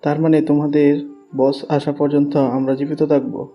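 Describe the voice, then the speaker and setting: A man's voice: fairly high pitch, little pitch movement, normal speed, dark tone, soft background music, close to an everyday voice. A man playing a hesitant, mild elder brother in an audio story. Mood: defensive. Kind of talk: Bengali audio story acting.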